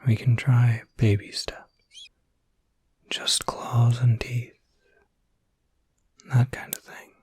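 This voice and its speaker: A man playing a gentle, reassuring werewolf caretaker in an ASMR roleplay, speaking in a soft, breathy, soothing whisper with small hesitations, close to the mic. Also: medium pitch, level tone, very slow, pauses often, no echo, full character voice.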